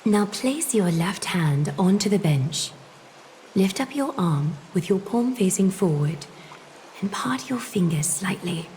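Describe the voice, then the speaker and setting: A woman voicing a composed, noble female leader in a fantasy game, speaking formally and with measured, gentle authority. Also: mid-range voice, lively intonation, slight background noise, natural voice. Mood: soothing, guiding.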